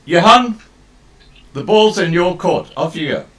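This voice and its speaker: A man hosting a live video call show, chatty and halting as he sets things up. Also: high-pitched, animated, a bit bright, recorded from a distance. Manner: chatty, halting, many restarts. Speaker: host.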